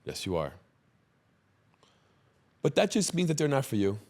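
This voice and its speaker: A man's voice: mid-range voice, wildly swooping pitch, moderate pace, darkish tone. A man hosting a podcast, interviewing casually, affirming his guest and finishing her sentences. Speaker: podcast host.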